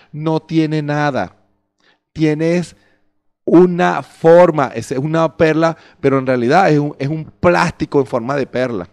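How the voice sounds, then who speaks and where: A man's voice: on the high side, a little mellow. A middle-aged man preaching a sermon from a church stage into a handheld microphone, firing rhetorical questions at the congregation and acting out their excuses. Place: church stage before a curtain. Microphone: handheld mic.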